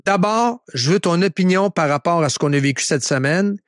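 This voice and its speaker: A man's voice: high voice, a little fast, dry recording, studio quality. A man with a Quebec French accent hosting a radio talk show, blunt and joking. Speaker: radio host.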